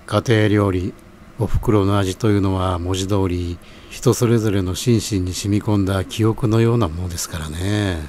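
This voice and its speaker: A man playing a polite, reflective host in an audio drama, narrating in a measured, scripted manner. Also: sits low, steady tone, darkish tone, slight background noise, natural voice. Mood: reflective, kindly.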